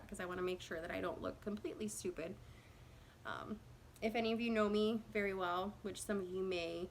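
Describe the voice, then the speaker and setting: A woman's voice: on the low side, vocal fry, fairly bright tone, a little hiss or hum, low-quality recording. A woman in her thirties from Indiana tells her own story to a phone camera in a casual, rambling way, with many fillers and self-conscious laughs. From Indiana, USA. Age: 30s.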